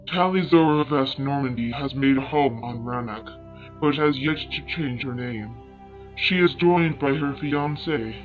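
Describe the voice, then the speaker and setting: A man playing a formal, hopeful alien chronicler, delivering measured scripted narration for a sci-fi audio story. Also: medium pitch, close to an everyday voice. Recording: thin phone-like sound with music behind. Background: music.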